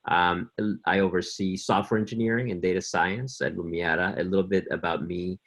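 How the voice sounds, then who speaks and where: Low voiced man, polite and to the point on a web panel. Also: steady tone, moderate pace, a bit bright. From Mexico City. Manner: polite and straightforward. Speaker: panelist, tech executive. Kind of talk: webinar panel talk.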